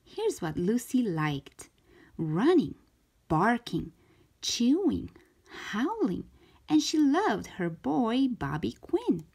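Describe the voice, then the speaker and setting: A woman reading a storybook aloud for young children in an expressive, deliberate way, stretching out key words. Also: a little high, slightly rough. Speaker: children's storybook reader, show host.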